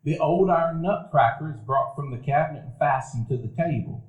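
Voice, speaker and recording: A man's voice: fairly high pitch, a little fast, phone-quality sound. Man narrating a memory in a hall. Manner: reflective, storytelling. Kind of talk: live radio play narration. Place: event hall before a live audience. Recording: echoey hall recording.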